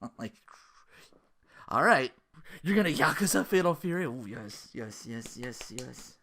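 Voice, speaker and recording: A man's voice: mid-range voice, expressive, a little slow, pushed and bright. A man hosting a gaming podcast in a casual, rambling, slangy monologue, often acting out imagined quotes. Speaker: podcast host.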